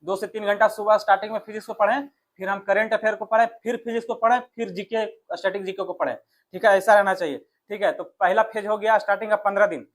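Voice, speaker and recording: A man's voice: high voice, steady tone, quick pace. A man with a Bihar accent coaching informally for an exam, addressing listeners familiarly and often answering his own questions. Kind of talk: informal exam strategy lesson.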